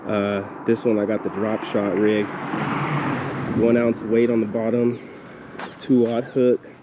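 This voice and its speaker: A man's voice: on the low side, little pitch movement, slow pace, background noise. A man casually talking viewers through a fishing trip, laid-back and chatty, calling them guys and bro. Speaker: fishing vlogger. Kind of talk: casual outdoor commentary. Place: outdoors by an aqueduct.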